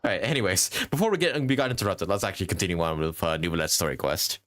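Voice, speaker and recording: Animated, low-voiced male game streamer. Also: very fast. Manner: musing, thinking aloud. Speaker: game livestreamer. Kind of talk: casual live gaming commentary. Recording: game music behind.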